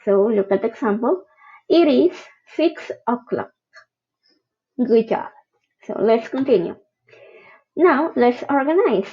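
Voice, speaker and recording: Woman, grammar teacher, fairly high voice, slow, patient, lo-fi recording. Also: lively intonation, breathy edge. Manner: patient, spells out each example. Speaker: English teacher.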